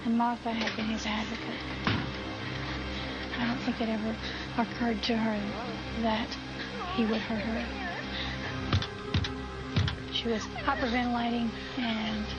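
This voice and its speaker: An American woman recounting events in a documentary interview. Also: fairly high pitch. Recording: dull audio under loud music.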